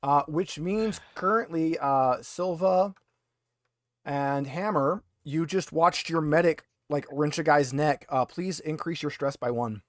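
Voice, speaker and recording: A man's voice: a little high, slow pace, no room sound, studio quality. A man acting as game master, narrating a tabletop roleplaying game in a conversational, hesitant way.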